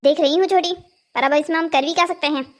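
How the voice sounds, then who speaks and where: A woman acts out a young daughter-in-law from a cartoon household drama. Her voice is very high and girlish. She speaks in a melodramatic, exclamatory way, as someone used to shopping and complaining. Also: steady tone, fast talker, lightly acted. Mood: indignant. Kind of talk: Hindi cartoon family drama dialogue.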